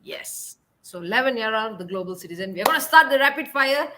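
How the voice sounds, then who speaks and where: A woman's voice: on the high side, lively intonation, fairly quick, bright, forceful tone. A young woman hosting an online interview in an upbeat, chatty way. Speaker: host.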